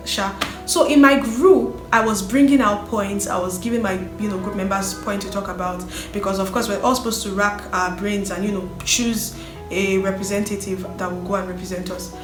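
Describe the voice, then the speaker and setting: A woman telling her own life story in an earnest, animated way. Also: mid-range voice. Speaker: vlogger sharing her story. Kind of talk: personal storytelling. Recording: echoey room with faint music.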